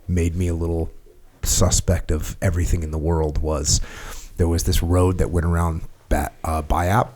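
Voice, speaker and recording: The low-pitched American man across the table on a long-form military podcast, steering the conversation. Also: level tone, normal speed, hardly any pauses, a little mellow, breathy edge. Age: middle-aged. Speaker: podcast host. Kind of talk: casual conversation, war reminiscing with some reading aloud.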